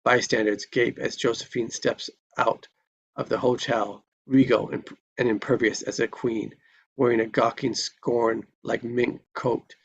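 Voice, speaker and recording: Gravelly, flat-toned man reading stage directions. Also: medium pitch, dull audio. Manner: stumbles over and corrects words. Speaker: screenplay narrator. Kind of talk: live table read of script narration.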